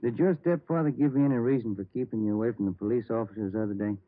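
An American actor playing a plain-spoken police detective sidekick in 1950s radio drama, folksy and direct. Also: male voice, mid-range voice, fairly even intonation, racing, no echo, lightly acted. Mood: direct, curious. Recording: muffled, dry vintage radio recording.

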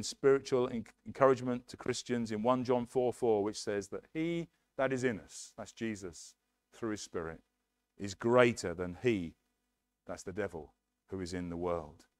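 A middle-aged man with an English accent preaching a sermon earnestly at a lectern in a church hall, on a headset mic. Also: mid-range voice. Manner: earnest, emphatic preaching with hand gestures at a lectern. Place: church hall, medium size, indoors.

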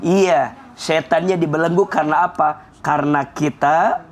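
A man in his thirties preaching in an energetic, emphatic way on a television studio set, speaking into a lapel mic. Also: on the high side, normal speed, slight background noise. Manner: energetic, emphatic, enumerating points. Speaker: Islamic preacher on a TV show. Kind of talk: television sermon.

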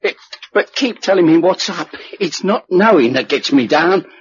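A man's voice: sits high, lively intonation, moderate pace, breathy edge, exaggerated voice. An actor playing an anxious, matey working-class British blind man in a radio drama, talking colloquially. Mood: nervous, hushed. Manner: colloquial, nervous. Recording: dull, noisy old radio broadcast recording.

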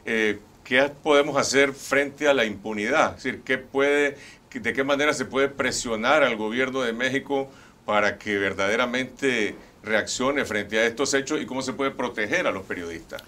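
A man's voice: medium pitch, normal speed, sharp tone. A middle-aged man hosting a TV interview in a studio, putting formal, probing questions to his guests. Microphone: lapel.

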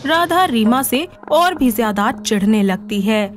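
Woman storyteller, higher voice over music. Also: animated, unhurried. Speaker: narrator. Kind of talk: scripted story narration.

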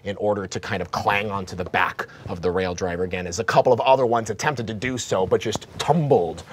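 A man's voice: lowish voice, a little fast, slightly rough. A man in his thirties running a tabletop horror game as game master, with vivid descriptive narration full of restarts. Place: studio set with a game table.

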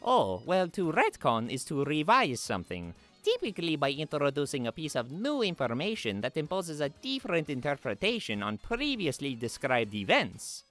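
A man voicing a cheerful, teasing plumber hero from a video game, in an animated cartoon style. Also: a little high, normal speed, hardly any pauses. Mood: friendly. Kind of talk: cartoon fan-dub character acting. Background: music.

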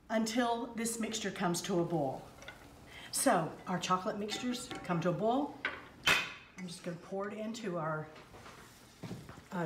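An older woman hosting a home cooking segment, talking viewers through a cake recipe step by step in a homey, instructive way, recorded in her kitchen. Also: medium pitch. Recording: echoey kitchen with faint noise. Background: clinking pots, bowls and utensils.

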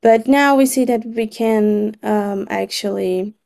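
A woman from Brazil with a Brazilian accent, a native Portuguese speaker, leading an online meeting recap in a measured, slightly halting way over a video call. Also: mid-range voice, creaky, darkish tone. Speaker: meeting facilitator.

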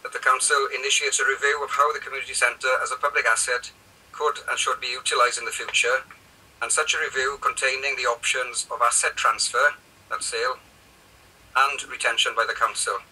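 Older Welsh man, flat bright voice, husky, halting delivery, distant call mic. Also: medium pitch. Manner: hesitant, halting, many fillers. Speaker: mayor chairing council meeting. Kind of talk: chairing a meeting. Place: office, indoors. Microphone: video call.

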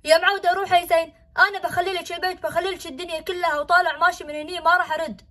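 A woman playing a fast-talking father. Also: very high-pitched, level tone, sharp tone. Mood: exasperated. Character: stern worried father of a family. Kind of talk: homemade family skit.

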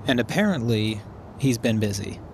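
A man's voice: lowish voice, expressive, normal speed, gravelly, darkish tone, a little hiss or hum. An actor playing a weary, wry man bent on revenge in an audio drama, with a dry, understated delivery. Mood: determined. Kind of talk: naturalistic audio drama acting.

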